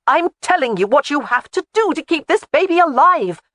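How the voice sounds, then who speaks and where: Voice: mid-range voice, expressive, normal speed, dry recording, studio quality, close to an everyday voice. The audiobook narrator voicing a protective young woman who scolds firmly. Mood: scolding. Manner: scolding, firm. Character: fierce, protective young woman caring for a baby.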